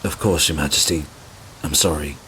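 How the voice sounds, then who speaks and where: An actor playing a dutiful, deferential soldier in a fantasy audio drama, restrained and respectful. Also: male voice, a bass voice, normal speed, bright, forceful tone, a little hiss or hum, poor audio. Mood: grave, deferential.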